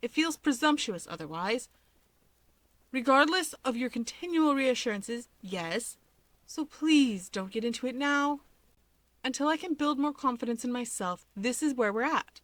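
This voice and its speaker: A woman voicing an earnest, gentle, insecure adult sister in a story, speaking carefully but firmly. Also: high-pitched, expressive, unhurried, dry recording, pitched above the performer's own voice. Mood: flustered, firm.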